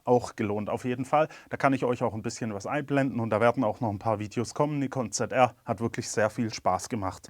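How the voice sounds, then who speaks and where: A man with a cold, candidly talking through his camera gear in a casual review. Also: medium pitch. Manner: candid, chatty, plain-spoken. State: has a cold.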